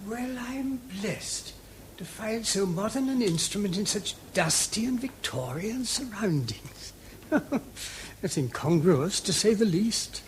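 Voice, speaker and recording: Gentle, inquisitive British priest-sleuth, high-pitched, polite, hesitant musing, radio play with noisy background. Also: male voice, expressive, takes it slowly. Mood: bemused. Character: gentle, inquisitive, mild-mannered English priest-detective, male. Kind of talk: British radio drama acting.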